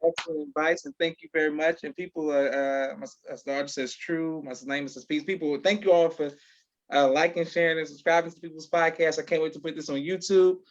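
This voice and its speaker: Higher-voiced male podcast host, dull sound. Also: fairly even intonation, fairly quick. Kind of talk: casual interview hosting.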